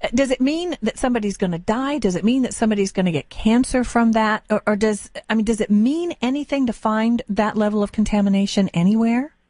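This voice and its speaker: A woman's voice: fairly high pitch, fairly quick, hardly any pauses, a little mellow, no echo. Woman radio host, dry close sound. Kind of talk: conversational radio interview.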